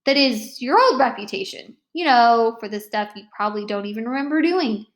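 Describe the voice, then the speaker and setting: A woman's voice: a little high, lively intonation, brisk, natural voice. A woman voicing an earnest, apologetic, nerdy middle-school boy in a storybook read-aloud. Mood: contrite, earnest.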